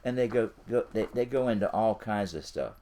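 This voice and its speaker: A man's voice: on the low side, lively intonation, a little fast, mellow. A man giving an informal instructional talk as a coach, speaking directly to the listener and repeating his phrases. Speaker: ministry and business coach.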